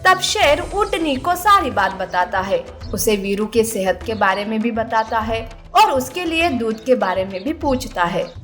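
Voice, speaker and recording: A woman's voice: fairly high pitch, a bit bright. A woman narrating a scripted children's story as the storyteller.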